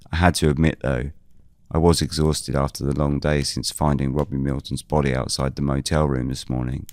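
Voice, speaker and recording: A man playing a weary investigator who narrates a scripted audio drama in the first person, measured and brooding. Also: rumbling low, a little mellow, no echo, clean studio sound. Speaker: narrator and lead character.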